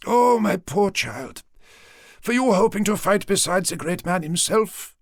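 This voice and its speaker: A man's voice: high-pitched, expressive, unhurried, gravelly, no room sound, studio quality, pitched above the performer's own voice, heavily acted. A man voicing a cruel, fanatical old German woman, speaking with theatrical mock tenderness. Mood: mournful.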